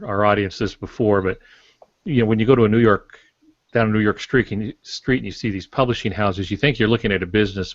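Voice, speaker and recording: An older man with a fairly low voice chats as a novelist on a podcast. His delivery is halting and anecdotal, with a matter-of-fact tone. The sound is dry and somewhat dull and lo-fi. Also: no room sound. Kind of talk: casual podcast discussion about writing.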